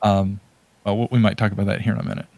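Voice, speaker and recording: A man's voice: fairly low pitch, little pitch movement, very fast, darkish tone, no echo. A man co-hosting a podcast, chatting casually about films in a hesitant, rambling way and often restating himself. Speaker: podcast co-host. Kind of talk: casual chat about movies and games.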